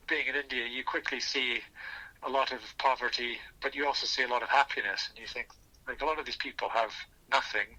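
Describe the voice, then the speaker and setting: A man speaking as an interview guest, thinking aloud earnestly and reflectively, often restarting his phrases, over a phone call. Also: mid-range voice. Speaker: podcast guest. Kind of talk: reflective personal storytelling in an interview. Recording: thin, bright telephone line.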